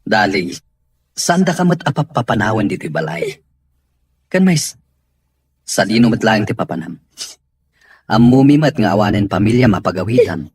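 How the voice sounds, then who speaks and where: A man playing a stern but caring middle-aged father in a radio-style family drama, firm and measured. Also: fairly low pitch, very animated, darkish tone, breathy edge, no room sound, studio quality. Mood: concerned.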